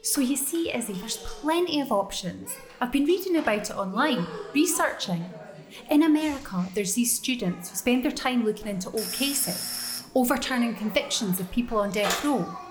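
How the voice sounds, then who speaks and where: A woman's voice: fairly high pitch, expressive, moderate pace, natural voice. A woman with a Scottish accent playing a harried, blunt nurse who talks through her thoughts in a brusque, chatty way. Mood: determined. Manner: brusque, chatty inner monologue. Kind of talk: audio drama acting.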